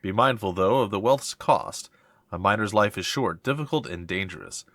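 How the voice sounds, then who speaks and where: A man's voice: fairly low pitch, expressive, normal speed, a bit bright, no echo. A man with a stuffy, congested voice, a let's-play host who reads tutorial text aloud and thinks aloud as he plays. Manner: thinking aloud, reads on-screen text then reacts with mild puzzlement. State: congested from a cold. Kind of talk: casual gameplay commentary reading tutorial text aloud.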